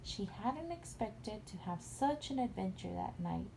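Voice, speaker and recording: Mother-type female storyteller, unhurried, creaky low-key tone, bedtime storybook narration, faint room noise. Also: very animated, dark tone, rough recording. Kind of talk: storybook narration for a young child.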